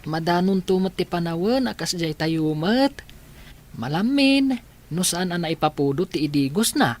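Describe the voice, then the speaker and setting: A woman's voice: on the low side, expressive, moderate pace, darkish tone, close to an everyday voice. A woman with a Filipino accent playing a cheeky, affectionate young village boy in a radio comedy drama. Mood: thoughtful.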